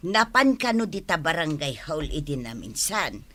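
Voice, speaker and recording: A woman's voice: sits low, sing-song, normal speed, sharp tone, exaggerated voice. A woman playing an outspoken village wife in a comedy drama, pointed and chiding, with an Ilocano accent. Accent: Ilocano, Philippines.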